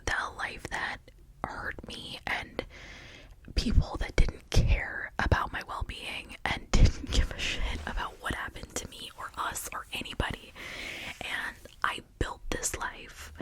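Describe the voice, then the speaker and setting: A woman speaking in a breathy whisper, rambling in a reflective, earnest and confiding way as an ASMR creator. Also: rough recording. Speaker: ASMR video creator and host.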